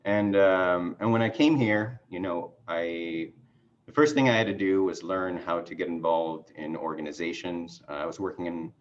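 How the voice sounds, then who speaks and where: A middle-aged man from Mexico, whose was not English, giving a halting, filler-heavy self-introduction over a video call. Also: low-pitched, muffled recording, slight background noise. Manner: rambling, halting with many fillers and restarts. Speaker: party chair candidate. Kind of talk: casual conversational self-introduction.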